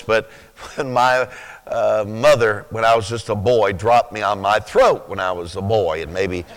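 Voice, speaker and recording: A man in his sixties with a rough, sleepy-sounding voice, preaching a sermon slowly, with many pauses, then rising with fervent emphasis. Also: lowish voice. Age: 60s. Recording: reverberant hall.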